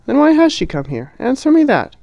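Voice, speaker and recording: A woman's voice: medium pitch, moderate pace, a little mellow, no room sound, muffled recording, voice pushed up, close to an everyday voice. A man voicing an agitated, indignant sister who scolds in hysterical bursts.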